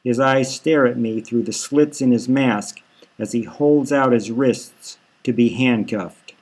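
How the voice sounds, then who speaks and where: Male author-narrator for scripted dark fiction audiobook, mid-range voice. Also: mid-range voice, unhurried. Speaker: author reading own novel.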